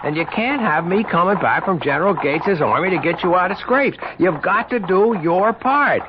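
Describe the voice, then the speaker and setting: Blunt, animated rural American. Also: male voice, sits high, normal speed. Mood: exasperated, firm. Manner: firm, folksy, blunt. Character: exasperated, responsible American countryman minding younger companion. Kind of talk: old-time radio drama acting. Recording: noisy, muffled vintage radio recording.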